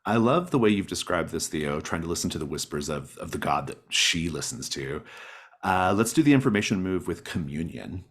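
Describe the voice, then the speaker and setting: A man's voice: low voice, animated, fairly quick, fairly bright tone, husky. A man acting as game master, narrating a tabletop roleplaying game off the cuff.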